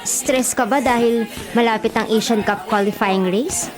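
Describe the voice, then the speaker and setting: A woman's voice: fairly high pitch, a little slow, a crowd in the background, close to an everyday voice. An actress playing a caring, teasing young woman who questions her friend in a Filipino radio drama. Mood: concerned, curious. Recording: radio drama under clear background music.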